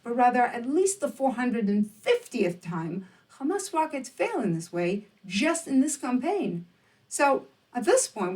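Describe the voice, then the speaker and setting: A woman presents scripted opinion commentary in a fairly high, very animated voice. Her delivery is emphatic and rhetorical, edged with sarcasm. She sounds slightly removed from the microphone. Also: recorded from a distance.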